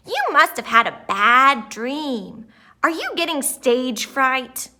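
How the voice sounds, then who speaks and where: A woman's voice: sits high, animated, slow, exaggerated voice. A woman doing a perky young girl groundhog. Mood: cheerful. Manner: bright, encouraging, eager.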